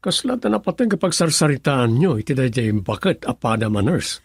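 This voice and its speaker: An actor playing a brooding husband who longs for a child in an Ilocano radio drama, grave and hesitant, with an Ilocano accent. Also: male voice, medium pitch, big pitch swings, brisk, gravelly, breathy edge, no room sound, studio quality, exaggerated voice. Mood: probing, concerned.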